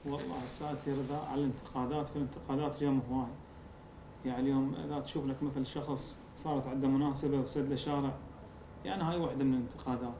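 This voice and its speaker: A man with a southern Iraqi accent from Amarah, a guest poet chatting in an interview and reciting verse slowly. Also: mid-range voice, mellow, roomy sound, slight background noise. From Iraq. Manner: measured, drawn-out recitation of verse. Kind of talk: conversational interview and slow poetry recitation.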